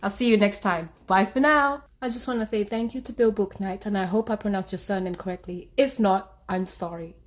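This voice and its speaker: A woman's voice: medium pitch, fairly quick, few pauses. A woman hosting and delivering commentary to her audience. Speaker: host. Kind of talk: video commentary.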